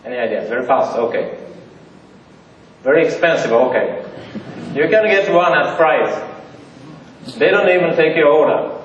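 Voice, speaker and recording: A man's voice: on the high side, lively intonation, moderate pace, pauses often, a little hiss or hum. A man with as his giving a conference talk to an audience, joking in simple phrasing with lots of fillers. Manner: joking, plain non-native phrasing with many fillers. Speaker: conference presenter. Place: large theater. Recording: dull, roomy audience recording from far off.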